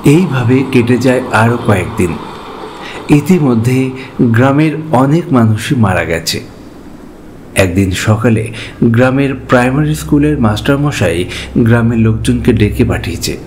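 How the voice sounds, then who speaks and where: A man's voice: mid-range voice, expressive, a little slow, long pauses, a little mellow, a little hiss or hum. A man narrating a scripted horror story as its storyteller. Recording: music behind the narration.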